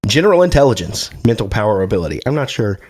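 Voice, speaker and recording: Low-voiced man losing his voice. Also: sing-song, very fast, husky. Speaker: podcast co-host. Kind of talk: casual chat.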